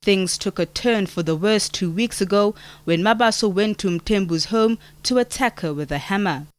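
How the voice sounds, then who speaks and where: A woman reading a scripted television news report in a measured, formal way. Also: mid-range voice, smooth, dry recording. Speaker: field reporter.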